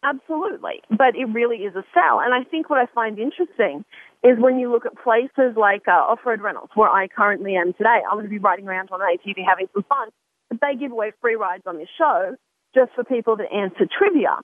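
A woman's voice: fairly high pitch, fairly quick, no echo. A woman hosting a radio talk show, chatty and often restarting her sentences. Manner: chatty, self-interrupting, repeats words. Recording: narrow phone-like line.

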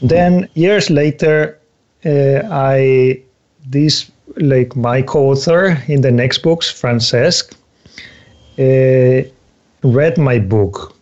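A man in his thirties from Spain, whose first language is Spanish, speaking as an author guest in a casual interview, pausing often to search for words. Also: mid-range voice, darkish tone, dull audio.

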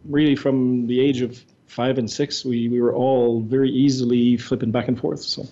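An older man from Montreal, a native French speaker, tells his story as an interview guest with an articulate, steady flow. His voice is dark and mellow, and the recording sounds dull. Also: mid-range voice, normal speed. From Montreal, Quebec. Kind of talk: interview answers.

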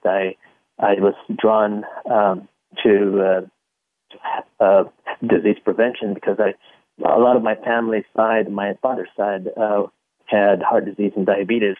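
A middle-aged man from the Philippines and Singapore, answering interview questions haltingly with many pauses. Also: lowish voice, dry recording. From born in the Philippines, raised in Singapore. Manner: halting, hesitant, pauses often. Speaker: interview guest, chiropractor. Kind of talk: conversational radio interview. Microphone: telephone. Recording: tinny phone line, dry.

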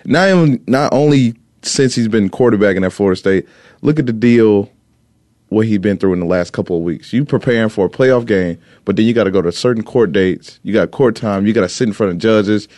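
A man with a fairly low voice talks sports in a relaxed, chatty way. He is recorded close and dry, with no room echo. He explains his take at length and laughs easily between points. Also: fairly quick. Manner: easygoing, talkative, laughs often. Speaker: sports talk co-host. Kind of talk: casual sports debate.

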